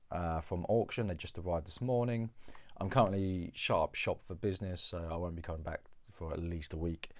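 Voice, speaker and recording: A man's voice: sits low, a little mellow, no room sound. A coin dealer with a cold, chatting casually to camera about coins he bought, rambling and repeating words. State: has a cold.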